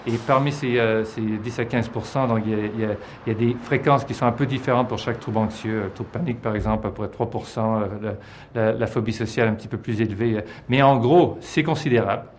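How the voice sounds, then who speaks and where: A man's voice: medium pitch, fast, a little hiss or hum. A man giving expert explanations in a documentary interview, with many fillers.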